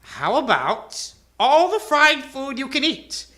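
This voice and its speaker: An actress playing an aggrieved, determined carnival performer, imploring and emphatic, in a live old-time radio drama. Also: female voice, sits high, big pitch swings, slow pace, a bit bright, exaggerated voice. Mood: earnest pleading. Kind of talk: live 1940s-style radio drama acting.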